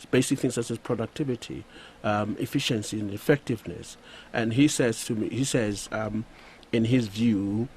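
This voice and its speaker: A man hosts a radio interview in a fairly low voice. He talks haltingly, full of fillers and restarts, with a faint hiss under the recording. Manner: hesitant, many fillers. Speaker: radio show host.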